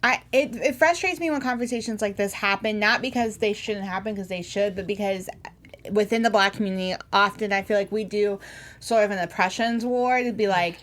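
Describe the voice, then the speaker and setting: A woman's voice: medium pitch, brisk, a bit bright. Earnest woman co-host making her point. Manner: earnest, argues a point with fillers. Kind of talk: casual TV recap chat.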